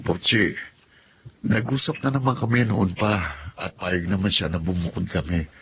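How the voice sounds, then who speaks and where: An actor playing a frustrated, blunt husband of thirty in a Filipino radio drama. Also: male voice, low-pitched, steady tone, normal speed, a bit bright, a little hiss or hum. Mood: dejected, confiding. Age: 30s.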